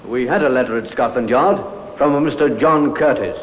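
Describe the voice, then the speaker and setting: Actor as echoey police sergeant. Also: male voice, medium pitch, brisk, a little hiss or hum, lightly acted. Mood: dutiful, matter-of-fact. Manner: clipped, respectful, official. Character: dutiful, matter-of-fact male Scotland Yard police sergeant. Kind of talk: 1950s radio drama acting. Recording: echoey, muffled old radio recording.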